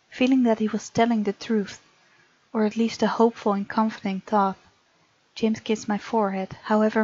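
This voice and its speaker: A woman gamer reading visual novel narration aloud in an expressive, informal way, with casual commentary of her own. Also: medium pitch, no room sound. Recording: muffled mic, game music behind. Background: game music.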